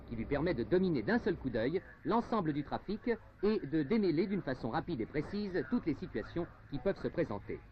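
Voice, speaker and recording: A male documentary narrator with a fairly high, very lively pitch delivers a formal script. He articulates carefully and leans on key words with emphasis. The sound is that of a muffled 1970s film soundtrack. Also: a little hiss or hum.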